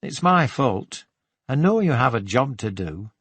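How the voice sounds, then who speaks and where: A man's voice: medium pitch, very animated, measured pace, a bit bright, no room sound, heavily acted. Man voicing a lilting young Geordie shopwoman. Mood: apologetic, chatty. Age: 20s. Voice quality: slightly husky. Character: young shop woman, teasing, friendly, chatty.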